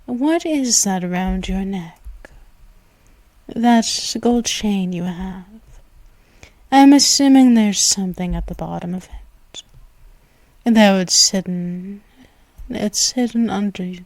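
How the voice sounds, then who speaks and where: A woman voicing a seductive, menacing female vampire ruler in an ASMR audio roleplay, speaking slowly and teasingly and slipping into whispers, close to the mic. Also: medium pitch, a little mellow, no echo. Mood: curious. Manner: slow, purring, teasing, often whispering.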